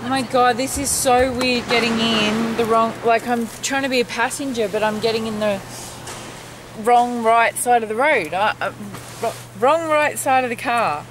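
A young woman chatting casually for a travel vlog, giggly and excitable, as a passenger in a car. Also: moderate pace. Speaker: vlogger, passenger. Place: inside a car. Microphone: handheld camera. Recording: noisy car interior, road rumble.

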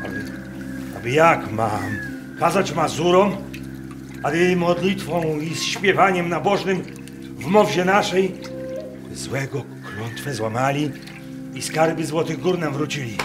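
A simple Masurian peasant woodcutter , played by a male actor, caught up in his visions in a radio drama. He speaks slowly in halting rustic dialect, breaking into exclamations, with music behind him. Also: a little high, close to an everyday voice. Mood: anguished doubt. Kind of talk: radio play acting. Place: wooden barn, staged with musicians.

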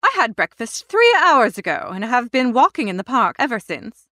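Imperious scolding aunt, swooping pitch woman acting. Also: very animated, moderate pace, pushed and bright, no echo, clean studio sound. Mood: scornful, commanding. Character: Aunt Agatha, bossy, formidable, critical upper-class older aunt.

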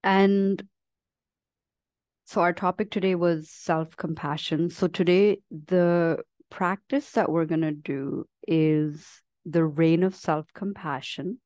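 A woman leading a guided meditation as a teacher, speaking gently and leaving long, unhurried pauses between phrases. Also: on the low side, fairly even intonation, dry recording, clean studio sound. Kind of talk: guided meditation, slow soothing instruction.

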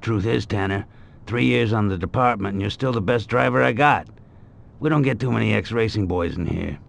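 An actor playing a gruff, world-weary police chief with a gravelly voice in a video game cutscene. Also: male voice, low-pitched, slight background noise.

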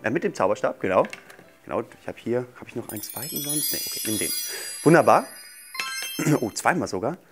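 Playful man with a mid-pitched voice. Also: sing-song, brisk, soft background music. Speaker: magician, show guest. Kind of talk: casual demonstration patter for children. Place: small furnished TV studio set, indoors.

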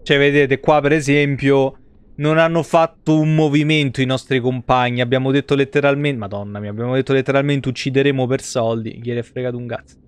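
A man's voice: medium pitch, fairly even intonation, brisk, smooth, no echo. A man, giving casual, sweary, joking live commentary on a video game. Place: indoor room, streaming setup.

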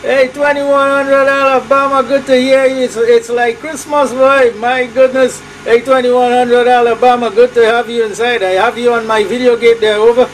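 High-pitched Trinidadian man calling over radio. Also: flat delivery, normal speed, few pauses, noisy background. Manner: hearty, repetitive call-sign patter. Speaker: amateur radio operator. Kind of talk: radio call chat. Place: small cluttered room at home.

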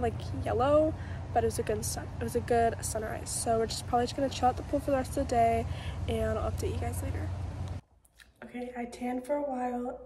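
A woman's voice: fairly high pitch, roomy sound, noisy background. A young American woman chatting casually to the camera as a vlogger, recorded on a phone held at arm's length. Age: teens to young adult. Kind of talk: casual vlog chat to camera.

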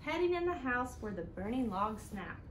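A woman hosting a children's storytime, reading aloud in an animated, sing-song way that speaks directly to little listeners. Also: a little high, moderate pace. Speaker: children's storytime host at a library. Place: living room indoors, seated on a couch. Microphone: camera a few feet away. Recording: roomy home sound with noticeable background noise.

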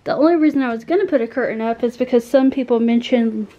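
A woman's voice: on the high side, fast, dark tone, lo-fi sound. A woman, chatting to viewers in a casual, encouraging way, with lots of fillers, from a phone held at arm's length in a small bedroom. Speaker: cleaning vlogger. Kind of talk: casual chat to camera.

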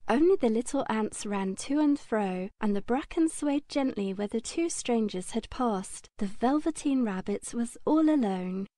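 A woman narrating a children's audiobook in a gentle, measured storytelling manner. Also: on the high side, expressive, no echo, studio quality.

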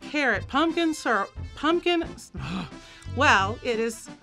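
A woman voice actor reads script lines at a slow pace. Her voice is fairly high, with clear music playing behind it. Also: expressive, pushed and bright. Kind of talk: reading script lines in studio takes. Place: small recording studio.

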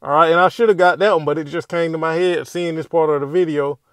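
Male commentator, high voice, dry room, loose rambling delivery. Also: steady tone, fast, smooth, dry recording. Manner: rambling, repeats "all right" and "man" as fillers. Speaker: commentator reacting to a street video. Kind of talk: casual spoken commentary over a video.

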